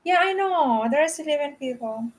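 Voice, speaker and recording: A woman's voice: high-pitched, lively intonation, moderate pace. A woman from the Philippines, hosting a casual live stream, chatting and greeting viewers by name.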